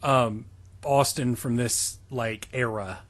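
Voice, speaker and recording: A man's voice: medium pitch, fairly even intonation, slow pace, no echo. A man co-hosting a comedic reading of fan fiction, switching between reading aloud and casual commentary. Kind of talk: amused dramatic reading with casual riffing.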